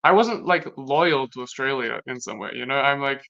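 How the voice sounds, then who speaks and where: A young man from Latvia whose first language is Latvian, talking through personal experiences in a casual conversation, reflective and halting with many restarts. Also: medium pitch, creaky, bright, forceful tone. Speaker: guest. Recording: dull lo-fi sound.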